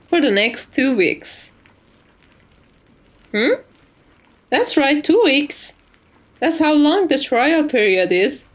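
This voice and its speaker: Vampire's maid, played by a woman for ASMR roleplay: formal, reassuring, gently wry, with a very expressive, fairly high voice. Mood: teasing. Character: composed, courteous vampire's maid, wryly teasing.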